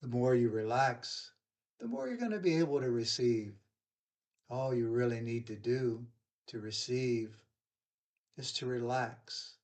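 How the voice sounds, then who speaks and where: A man leading a guided yoga nidra relaxation, giving soothing, unhurried instructions with pauses. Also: medium pitch, a little mellow.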